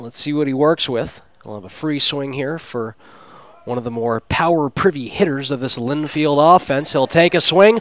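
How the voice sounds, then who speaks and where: A man's voice: sits high, clean tone. A man calling a baseball game live on radio as play-by-play announcer, with descriptive, flowery phrasing. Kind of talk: live sports radio commentary.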